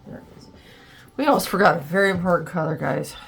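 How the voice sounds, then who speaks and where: A woman's voice: lowish voice, fast, gravelly, a bit bright, husky, faint music behind. A woman hosting a casual art tutorial, a little congested and plugged up, chatting and thinking aloud as she works, with lots of ums and likes. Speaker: art channel host.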